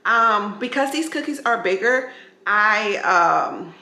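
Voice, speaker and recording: A woman's voice: medium pitch, expressive, slow pace, pushed and bright. A middle-aged woman vlogger chatting informally and directly to her viewers in a home kitchen. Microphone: camera at arm's length. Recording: roomy echoing kitchen.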